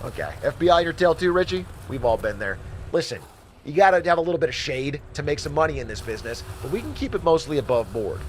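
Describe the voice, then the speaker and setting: A shameless hustler of a money guru for a video game, glib and showy like a salesman. His pitch swings widely as he pushes his advice, with faint noise behind him. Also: big pitch swings, fast. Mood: smug, breezy. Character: shady, slick male finance guru, shameless hustler. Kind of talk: game voice acting, hosted advice show patter.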